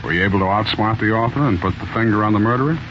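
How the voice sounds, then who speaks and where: A man's voice: fairly low pitch, very fast. A man hosting a scripted 1940s radio mystery show, theatrically addressing the listeners. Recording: muffled, noisy 1940s radio recording.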